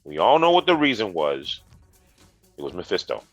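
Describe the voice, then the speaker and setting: A congested man with a low voice co-hosts a gaming news chat and delivers dry, deadpan jokes. His audio sounds dull and muffled, and it is very dry. Faint music plays underneath. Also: normal speed, no echo. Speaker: co-host, retro gaming specialist.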